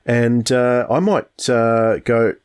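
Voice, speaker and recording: A man's voice: mid-range voice, fairly even intonation, slow pace, clear, resonant tone. A man co-hosting a podcast in casual, chatty talk. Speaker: podcast co-host.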